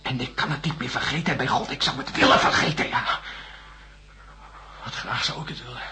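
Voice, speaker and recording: An actor playing a distrustful, haunted astronaut in a radio drama, answering curtly and defensively. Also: male voice, medium pitch, expressive, fairly quick, noisy background, close to an everyday voice. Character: bitter, distrustful male astronaut under psychiatric questioning. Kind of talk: science-fiction radio drama acting.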